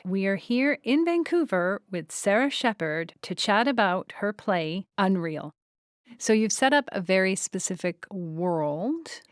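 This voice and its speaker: A woman's voice: medium pitch, smooth, no echo, clean studio sound. A woman hosting a podcast, giving introductions and putting questions to a guest. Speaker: podcast host. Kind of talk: scripted introduction and interviewing. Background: music.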